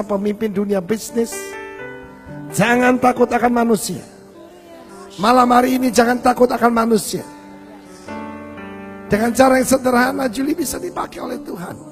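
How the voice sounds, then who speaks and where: High-voiced pastor praying over music. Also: male voice. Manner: fervent, half-sung praying. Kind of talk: closing prayer and exhortation. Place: church service. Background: faint worship music.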